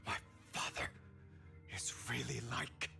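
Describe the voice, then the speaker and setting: An actor playing a proud, troubled young avenger in a video game, with intense theatrical phrasing. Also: male voice, slow, music in the background, lightly acted. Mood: bitter, defensive. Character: proud, troubled young male avenger haunted by his bloodline.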